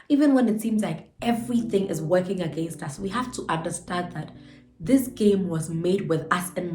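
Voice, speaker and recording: A woman's voice: lowish voice, darkish tone. Woman host with a cold, nasal and earnest, stretches key words; roomy echo, faint music bed. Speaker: channel host. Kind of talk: motivational book breakdown. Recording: echoey room with faint music.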